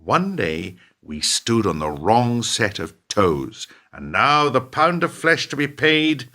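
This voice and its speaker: A man's voice: medium pitch, very animated, slow pace, pushed and bright, full character voice. A man acting in a radio play as a cynical, scheming businessman, scornful and unrepentant, with a theatrical, pointed delivery. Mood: bitter.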